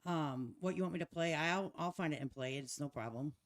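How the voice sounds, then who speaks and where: A woman's voice: deep voice, a little fast. A woman hosting a casual reaction livestream, commenting in a folksy, sarcastic, mocking way. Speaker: livestream host.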